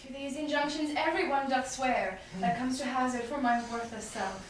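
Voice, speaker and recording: A woman playing a dignified noble heiress in a Shakespearean staged reading, with measured, formal delivery. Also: sits high, fairly even intonation, lightly acted. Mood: formal, reserved. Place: live staged reading in a reverberant room. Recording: very echoey room, distant, faint noise.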